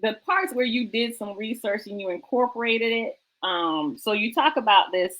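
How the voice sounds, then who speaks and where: A woman with a bright, forward voice hosts a book discussion. She talks with enthusiasm and urges the listener on, hammering points home by repeating key phrases. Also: fairly high pitch, normal speed, low-quality recording. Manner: emphatic, exhortative, repeating phrases for effect. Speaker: host of a book talk show.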